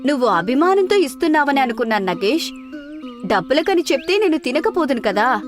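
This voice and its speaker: Gluttonous elder sister, voiced by a woman storyteller, high voice, guileless, shameless, moral story. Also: sing-song, brisk, music in the background. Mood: nonchalant.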